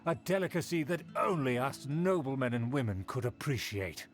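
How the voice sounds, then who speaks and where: Pompous young aristocrat. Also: male voice, medium pitch, very animated, faint music behind. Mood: haughty. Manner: pompous, formal, drawn-out. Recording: game audio with clear noise.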